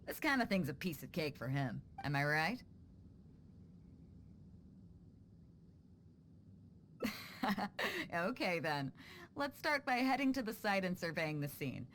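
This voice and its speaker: A woman's voice: lowish voice, sing-song, moderate pace, fairly bright tone, slight background noise. An actress playing a bold, confident female pirate captain in a video game, breezy and self-assured, casual yet commanding. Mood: amused, confident.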